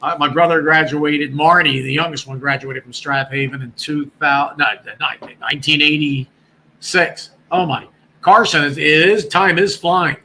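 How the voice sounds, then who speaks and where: Chatty sixties man, bright voice. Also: a little high, fairly even intonation, unhurried, slight background noise, low-quality recording. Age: 60s. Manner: rambling, chatty, many restarts. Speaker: guest, former radio broadcaster. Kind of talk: casual chat on a call-in show.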